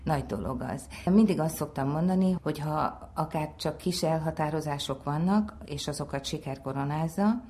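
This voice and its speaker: Female expert, deep for a woman, dark warm tone, conversational and reflective.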